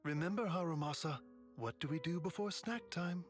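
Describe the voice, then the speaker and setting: Video game role, female actor: composed woman master, deep for a woman, quietly controlling. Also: moderate pace, lightly acted. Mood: coaxing. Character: calm, controlling adult woman caretaker, a master. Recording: game music under the voice. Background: game music.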